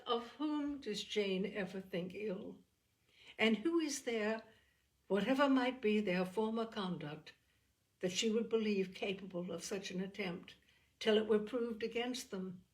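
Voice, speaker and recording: A woman acts out a young Regency gentlewoman from a classic novel. She is candid, earnest and quick to indignation, speaking in formal, emphatic phrases with animated stress. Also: mid-range voice, lightly acted. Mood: indignant.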